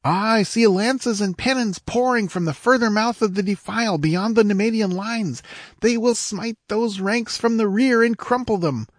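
A man voicing an excitable young squire in a fantasy audiobook, declaiming breathlessly. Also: sits high, normal speed, no echo, studio quality, voice pushed up. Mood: exultant. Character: young squire, frantic excitable lookout at a battle. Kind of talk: dramatic fantasy audiobook character voice.

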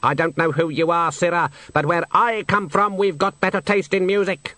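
Haughty swan for a storybook, played by a man, raised pitch, snooty and argumentative, dry sound. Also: fairly even intonation, moderate pace, pushed and bright, dry recording. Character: haughty quarrelsome male swan.

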